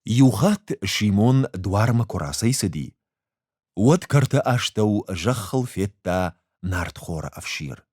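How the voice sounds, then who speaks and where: A man reading a short story aloud as an expressive literary narrator. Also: on the low side, slow, no echo, studio quality.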